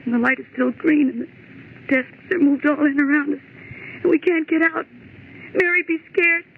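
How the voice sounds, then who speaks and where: A frightened young-sounding office woman in a vintage radio horror play, urgent and melodramatic. Her high-pitched pleading comes through a thin, band-limited recording with clear hiss and noise. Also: steady tone, moderate pace, narrow, tinny audio. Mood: desperate, urgent. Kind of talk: old-time radio horror acting.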